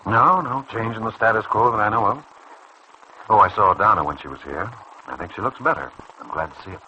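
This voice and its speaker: A man playing a kindly, principled senior doctor in a mid-century radio soap opera, speaking in a measured, earnest way. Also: lowish voice, expressive, pushed and bright, highs cut off. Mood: friendly, attentive. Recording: faintly noisy old radio recording.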